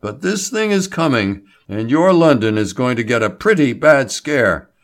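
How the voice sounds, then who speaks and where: A man voicing an excitable male doctor, a scornful crank who snorts and cries out as he lectures. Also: mid-range voice, normal speed. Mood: excited.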